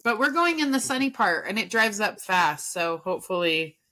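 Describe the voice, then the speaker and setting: A woman co-hosting a podcast, chatting casually in an excited, gushing manner. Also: medium pitch, moderate pace, rough recording. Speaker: podcast co-host. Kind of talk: casual chat.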